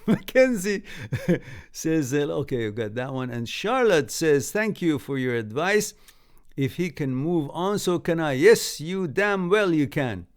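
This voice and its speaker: A man's voice: on the high side, very animated, a little slow, clear, resonant tone. A man whose first language is Farsi hosts a live stream, reading viewer comments aloud and giving blunt, breezy advice, laughing often between sentences.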